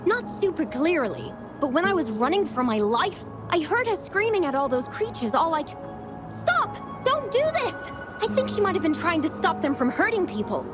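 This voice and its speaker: A very high-voiced, lively young woman. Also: normal speed, over music. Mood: excited, rattled. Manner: expressive, colloquial. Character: earnest, spirited, talkative young woman. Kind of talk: video game character dialogue.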